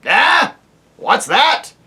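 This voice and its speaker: A reader voicing a very old, deaf and irritable male dwarf who talks loudly and mishears words, in a storybook reading. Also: sits high, big pitch swings, very slow, voice pushed up, heavily acted. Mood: testy, loud. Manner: loud, testy, mishears words.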